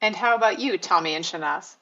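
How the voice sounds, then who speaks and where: A woman's voice: on the low side, brisk, bright, forceful tone, lo-fi sound. A woman moderator reading guest introductions and asking questions in a formal, polite manner.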